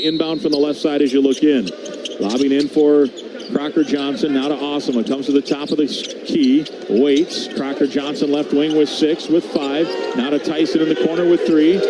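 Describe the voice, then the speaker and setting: A man calling live basketball play-by-play on the radio, over arena noise. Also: on the high side. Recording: noisy broadcast with arena ambience.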